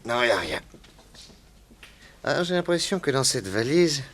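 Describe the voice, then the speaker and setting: An actor playing a shrewd private detective, assured, ironic and commanding, in a 1960s French radio crime drama. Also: male voice, mid-range voice, sing-song, normal speed, noisy background, natural voice. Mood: brisk, commanding.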